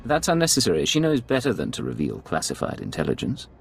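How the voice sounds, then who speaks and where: An actor playing a composed imperial intelligence agent in a video game, formal and controlled in speech. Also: male voice, lowish voice, wildly swooping pitch, fast, creaky, slight background noise, heavily acted. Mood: firm, reassuring.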